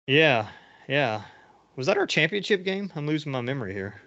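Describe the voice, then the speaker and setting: A man's voice: a little high, sing-song, moderate pace, pushed and bright, no echo. A middle-aged man hosting a casual sports chat podcast, talking in a rambling way that trails off and rephrases. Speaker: podcast host. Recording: dull lo-fi audio.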